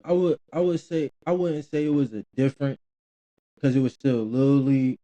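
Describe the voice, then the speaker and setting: A young man, his voice very dark and mellow. He talks in a loose, rambling way with slang and many restarts, recorded close and dry. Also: mid-range voice, moderate pace, no echo, highs cut off. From Philadelphia, Pennsylvania. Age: 20s. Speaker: guest, former college football player. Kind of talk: casual interview talk.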